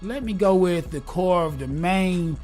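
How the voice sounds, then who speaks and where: A man in his forties, talking casually and earnestly in an interview, upbeat and a bit preachy, and quick to laugh. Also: high-pitched, darkish tone, faint music behind. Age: 40s. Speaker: guest, gospel rap artist. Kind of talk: casual conversation.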